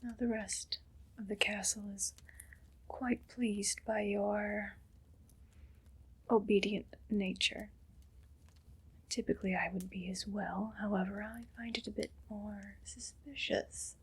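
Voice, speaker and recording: Woman playing a whispering, slow, haughty evil queen. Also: medium pitch, steady tone, exaggerated voice. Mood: cool, suspicious. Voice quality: breathy whisper. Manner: slow, deliberate, imperious. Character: haughty, condescending evil queen, coldly teasing. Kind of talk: whispered ASMR roleplay.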